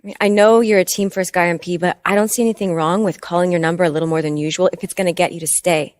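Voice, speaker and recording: A woman's voice: lowish voice, fairly even intonation, fast talker, darkish tone, no echo, close to an everyday voice. An actress playing a supportive, principled girlfriend in a video game cutscene, frank and ready to challenge others. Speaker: player's partner character.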